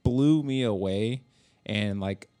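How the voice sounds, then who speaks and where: A man with a fairly low voice hosts a casual podcast. He talks informally and with enthusiasm, dropping swear words as he goes. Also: slow, dark tone, faint music behind. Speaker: podcast host and filmmaker.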